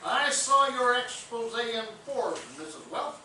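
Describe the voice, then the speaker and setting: A man's voice: very high-pitched, animated, a little slow. Man playing a pompous boozy detective, echoey and distant. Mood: glib, needling. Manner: pompous, wordy, glib. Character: boozy, wisecracking, lecherous male police detective. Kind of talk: farcical comic stage acting. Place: theatre stage, staged reading. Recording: echoey theatre, distant mic, noisy.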